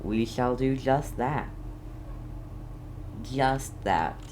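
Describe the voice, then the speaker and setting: A man's voice: medium pitch, very slow, a little mellow, a little hiss or hum. A man giving casual gameplay commentary as a streamer, in an offhand, playfully repetitive mutter. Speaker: game streamer.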